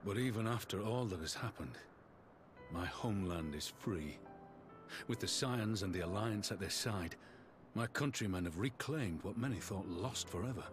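An actor playing a stern, weary, middle-aged warrior general in a video game, speaking formally and with measured gravity. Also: male voice, on the low side, animated, music in the background, lightly acted. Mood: proud, solemn. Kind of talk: scripted video game dialogue.

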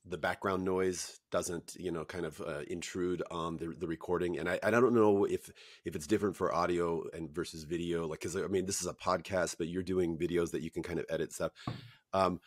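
A man's voice: sits low, steady tone, moderate pace, no echo, studio quality. An older man hosting a podcast interview from a hotel room over a video call, chatty with rambling asides. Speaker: podcast host. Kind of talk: conversational interview. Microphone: headset mic on video call.

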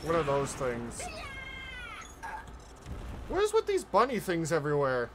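A man's voice: a little high, huge pitch swings, measured pace, slight background noise. A man streaming casual reaction commentary on a game trailer.

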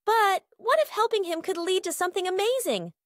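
A woman's voice: very high-pitched, animated, brisk, no room sound, clean studio sound. A woman voicing a curious, eager young boy adventurer in a children's storybook, earnest and questioning. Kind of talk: children's storybook character reading.

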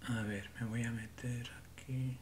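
A man in his forties talks loosely to his stream viewers from a small home room, his voice pitched in an ordinary middle range. He rambles and mutters to himself between thoughts. A faint hiss sits under the webcam sound. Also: level tone, measured pace. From Barcelona. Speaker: livestream host. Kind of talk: casual livestream chat with viewers.

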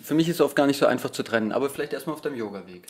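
A man in his thirties asking polite, measured interview questions with fillers, recorded indoors on a handheld recorder he holds. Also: mid-range voice, expressive, husky. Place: hotel lounge at a conference, indoors. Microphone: handheld recorder in his own hand.

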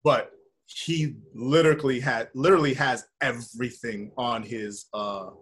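A middle-aged American man with a husky voice, talking informally as an interview guest on a video call. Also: medium pitch, steady tone, a little slow, fairly bright tone, a little hiss or hum. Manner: informal, conversational, peppers talk with "man" and "you know". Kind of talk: casual interview chat. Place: indoor room with bare wall, video call.

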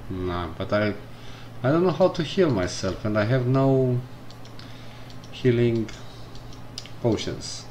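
A man commenting casually on a game as he plays, reading the game text aloud and thinking out loud. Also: medium pitch, lively intonation, slow pace, long pauses, slight background noise. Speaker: gameplay streamer. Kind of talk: casual let's-play commentary. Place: indoors at a computer. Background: game music.

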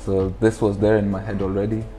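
A man's voice: on the low side, little pitch movement, a little fast, music in the background. A man in his twenties from the UAE, chatting informally as an interview guest and explaining things, on a lapel mic indoors. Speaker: interview guest, clothing brand founder. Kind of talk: casual interview talk. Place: furnished indoor lounge.

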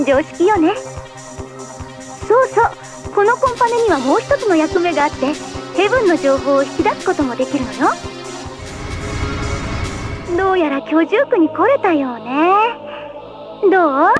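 Perky young game guide, very high voice, chirpy, teasing, casual, music behind. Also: female voice. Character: perky, playful young female guide and ally. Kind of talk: animated Japanese video game cutscene acting.

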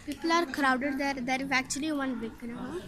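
A young woman narrating a handheld travel vlog in simple, halting English, explaining things as she walks. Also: high-pitched. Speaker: vlogger touring a cave temple. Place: outdoor temple grounds and a large cave. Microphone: phone camera held by hand. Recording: phone recording with loud ambient noise.

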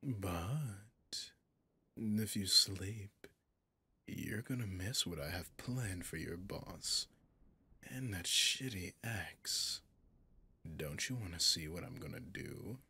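A man's voice: deep voice, pushed and bright, exaggerated voice. A man voicing a possessive, gloating demon in an ASMR roleplay, seductive and menacing, often slipping into a whisper. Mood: wicked, teasing. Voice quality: gravelly, breathy, often whispering. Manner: slow, seductive, menacing, condescending.

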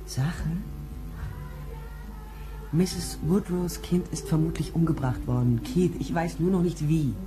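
A woman's voice: low voice, slow, long pauses, over music, rough recording. An actress playing a tall, sardonic female private detective in a radio play, dry and challenging as she talks and narrates. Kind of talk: radio play dialogue and first-person narration.

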